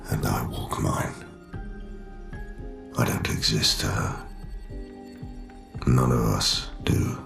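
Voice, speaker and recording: Casting: older embittered father-antagonist for a game, extremely low, slow and grave, music underneath. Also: exaggerated voice. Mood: bitter sorrow. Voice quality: very deep, gravelly. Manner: slow, weary, measured, accusing. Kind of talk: cinematic game drama acting. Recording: loud music behind.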